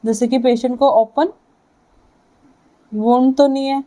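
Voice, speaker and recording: A woman with an Indian accent giving a lecture to students, speaking in short halting phrases and checking in with her listeners. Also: high voice, steady tone, dark tone, rough recording. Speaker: physiotherapy lecturer.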